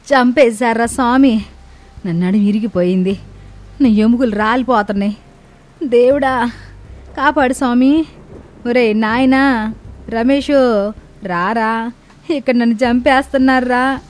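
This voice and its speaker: A woman voicing a cranky, melodramatic old mother-in-law in an animated story, complaining theatrically. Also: a little high, slow, long pauses. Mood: wailing. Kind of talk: animated moral story acting.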